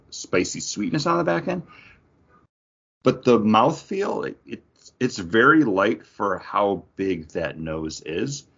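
A man's voice: fairly low pitch, fairly bright tone, muffled recording. A man chatting casually and jokingly as a podcast co-host, talking enthusiastically through what he is tasting. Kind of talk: casual chat, informal beer tasting.

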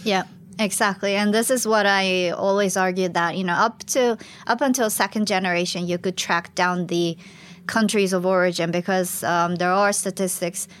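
A woman's voice: medium pitch, steady tone, moderate pace. A woman researcher explaining her work in an interview, thoughtful and explanatory, often restarting her sentences. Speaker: researcher guest. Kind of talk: academic interview talk.

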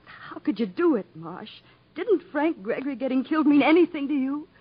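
An actress playing a devoted, worried young country wife, earnest and emotional, in a 1950s radio drama. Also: female voice, high voice, animated, fairly quick. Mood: reproachful. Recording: muffled 1950s radio broadcast recording.

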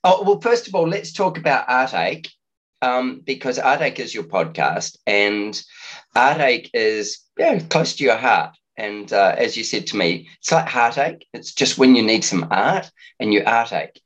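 A man hosting a podcast, asking relaxed questions in an easygoing manner. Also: mid-range voice, a little slow, rough recording. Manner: relaxed, easygoing, chuckles often. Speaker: podcast host. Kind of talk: casual interview chat.

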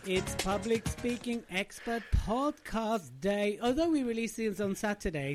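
A man with a high voice co-hosts in a mocking, teasing way. He laughs often and trades jokes with his partner. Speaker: co-host. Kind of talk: casual banter.

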